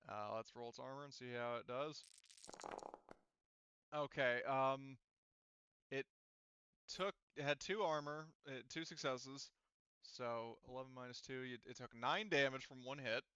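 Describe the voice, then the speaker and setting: Man, game master, smooth clear voice, casual rules talk, dry close sound, slightly muffled. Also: mid-range voice, a little slow, long pauses, pushed and bright, no room sound. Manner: thinks aloud while counting and working out rules. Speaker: game master running a tabletop roleplaying game.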